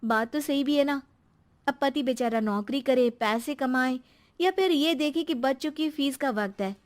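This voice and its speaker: Woman voicing an anxious, high-voiced cartoon housewife. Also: moderate pace, lightly acted. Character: timid, overworked housewife and mother, anxious, self-blaming.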